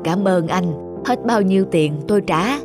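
A man's voice: high-pitched, normal speed, music in the background, natural voice. A man voicing a weary old rice porter in a cartoon, polite, humble and plaintive. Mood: grateful. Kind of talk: cartoon dubbing.

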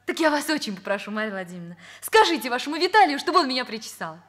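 A naive young woman, a secretary in a Soviet radio play. Her voice is high and lilts widely up and down. She is coy and chatty, wheedling as she talks. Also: big pitch swings, racing, a bit bright, exaggerated voice. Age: young adult. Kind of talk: Soviet radio drama acting.

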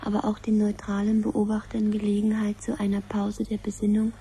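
An actress playing an impersonal announcer, reading an official crisis report in a detached, formal way in a 1970s radio drama. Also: female voice, mid-range voice, completely flat delivery, a little fast, dark tone, lightly acted. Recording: 1970s radio production, faint background noise.

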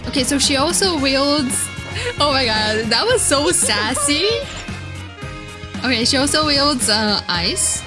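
A woman's voice: sits high, lively intonation, takes it slowly. A woman streamer giving casual, gushing, exclamatory reaction commentary. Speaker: VTuber streamer. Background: game trailer music.

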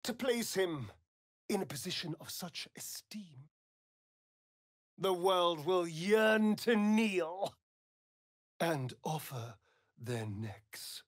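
An aristocratic English vampire rogue for a video game, sardonic and arch. He drawls in unhurried phrases, his pitch swooping theatrically. The sound is close and very dry. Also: animated, no room sound, full character voice. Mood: brooding. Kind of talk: video game character dialogue.

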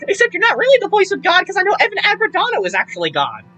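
A very high, bright kid's voice that swoops wildly up and down. A woman plays this flippant young boy from a comedy game dub, rambling and exclaiming with cheek. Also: huge pitch swings, rapid-fire, full character voice. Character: cheeky, excitable young boy dodging robots. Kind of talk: improvised comedic game fandub.

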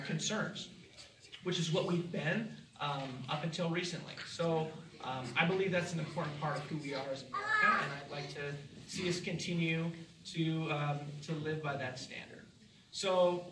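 A man addresses a crowd from a stage in a large hall, with a fairly high, bright voice. He is earnest and fills his pauses with ums. The sound is roomy and caught from far off by the camera. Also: slight background noise. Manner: earnest, many filler ums, relaxed. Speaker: event organizer. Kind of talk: speech to an audience.

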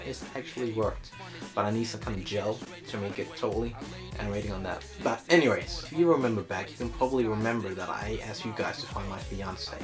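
Young male vlogger, mid-pitched, informal and a little halting, music underneath. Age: young adult. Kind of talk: casual chat to camera. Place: small indoor room.

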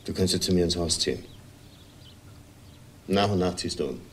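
Crime drama widower male actor, middle-aged, low register, controlled and evasive, halting delivery. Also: a little hiss or hum, natural voice. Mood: calm, firm. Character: composed, evasive widowed husband with a mistress. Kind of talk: German television crime drama acting.

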